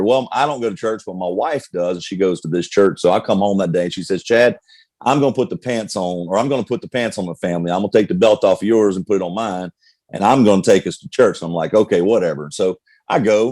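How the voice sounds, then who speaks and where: Middle-aged male pastor, low pitch, dry close sound, candid testimony style. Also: fast talker, a little mellow, no echo. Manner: earnest, candid storyteller with many restarts. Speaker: pastor, guest. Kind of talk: personal testimony in conversation.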